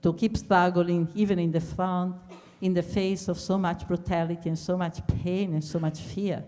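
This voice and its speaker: A woman closing a lecture, earnest and measured, pausing on fillers. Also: lowish voice, little pitch movement, dark tone. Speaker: speaker at a talk. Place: large lecture hall. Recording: hall reverb from a distance.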